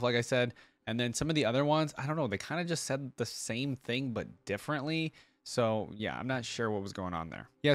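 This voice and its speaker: A man hosts a reaction video in a casual, unscripted style. His voice sits at an average pitch. He rambles as he thinks aloud, checking in with viewers, and the sound is dry and close. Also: no echo.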